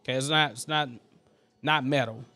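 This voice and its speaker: Male host, thin but smooth voice, loose and talky, live tutorial feel. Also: mid-range voice, fairly even intonation, normal speed, bright, forceful tone, dry recording, faint music behind. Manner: loose and chatty, full of fillers and asides to the chat. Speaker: host teaching vocal mixing. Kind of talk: casual live tutorial, chatting with viewers.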